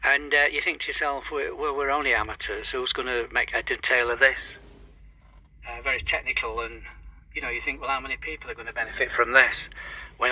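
A man's voice: mid-range voice, husky. An older man chatting in a hesitant, rambling way as an amateur radio operator. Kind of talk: casual radio chat. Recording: dull lo-fi radio link.

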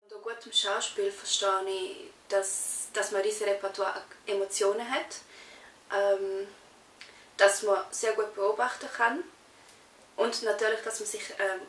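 A woman's voice: medium pitch, fairly bright tone. A young adult woman with a Swiss German accent, giving casual interview answers. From Switzerland. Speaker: interviewee, actress. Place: small indoor room, on a sofa. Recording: roomy echo, distant mic, faint hiss.

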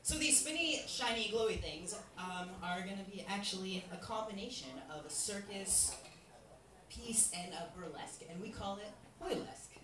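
A woman's voice: mid-range voice, animated, normal speed. A woman performer casually introducing an act on a live stage. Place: small indoor lounge theater stage. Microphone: handheld stage mic, filmed from audience. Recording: roomy, distant, loud live crowd.

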